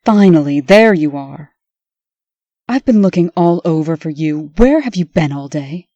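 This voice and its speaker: Bossy, impatient woman played by an actress, warm lower voice, prim comic-dub delivery, slightly muffled lo-fi mic. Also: lively intonation, unhurried, no room sound. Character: brisk, exasperated female manager, bossy elder sister. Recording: dull lo-fi microphone.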